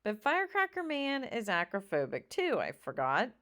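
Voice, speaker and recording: A woman reading a children's story aloud expressively, with dramatic drawn-out emphasis. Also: mid-range voice, huge pitch swings, a bit bright. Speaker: storybook reader.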